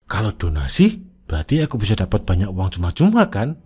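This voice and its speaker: A man's voice: fairly low pitch, wildly swooping pitch, a little fast, a little mellow. A man voicing a greedy cartoon husband who fakes misery for donation money, talking in a whiny, pleading and sly way, with music playing under him. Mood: scheming. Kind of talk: animated cartoon voice acting.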